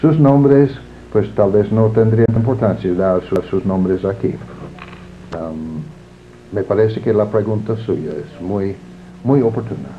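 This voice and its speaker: An older native English speaker talks in a slow, formal, reflective manner, his voice sitting on the low side. The sound is thin and narrow like an old video tape, with a faint hiss underneath. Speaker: interviewee. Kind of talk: formal recorded interview. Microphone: camera mic.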